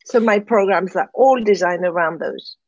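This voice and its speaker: A woman's voice: lowish voice, moderate pace, no room sound, highs cut off. A woman with a French accent, speaking reflectively as a guest in an interview and often checking in with "right?". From France, raised in Morocco. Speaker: guest, transformation coach.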